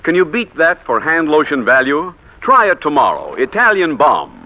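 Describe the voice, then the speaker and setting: A male announcer reading an old-time radio advertisement. His delivery is lively and forceful, with a bright edge. The recording sounds dull and dated. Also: on the high side, normal speed. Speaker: commercial announcer. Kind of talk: 1940s radio advertising pitch.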